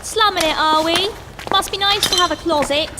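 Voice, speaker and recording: A woman's voice: extremely high pitch, moderate pace, noisy background. An actress playing a scornful, streetwise homeless woman in a video game, with an English accent and a blunt, mocking manner. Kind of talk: video game character acting.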